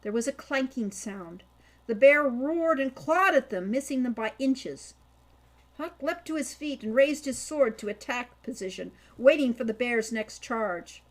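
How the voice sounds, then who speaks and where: A woman's voice: fairly high pitch, animated, unhurried. A woman reading scripted fiction aloud as an audiobook narrator. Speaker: audiobook reader, author.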